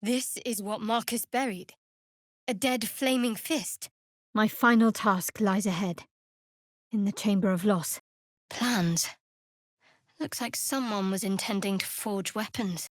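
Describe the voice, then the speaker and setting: An actress playing a guarded, dryly sardonic young woman cleric of a dark goddess in a fantasy video game. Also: mid-range voice, slow pace, long pauses, no echo, clean studio sound, natural voice. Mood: observing, then solemn.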